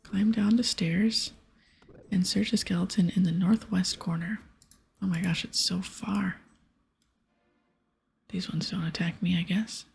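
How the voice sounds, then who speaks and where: A woman doing ASMR game commentary, reading aloud and chatting casually in a breathy whisper. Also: on the low side, steady tone, measured pace, pauses often, darkish tone, faint music behind.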